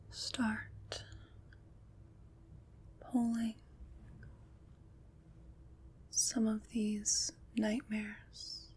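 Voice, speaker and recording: A woman playing a gentle, caring witch in an ASMR roleplay, speaking in a breathy whisper with soothing, reassuring pauses. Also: on the high side, poor audio. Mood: soothing, hushed. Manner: slow, soothing, reassuring, pausing between short phrases. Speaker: ASMR performer.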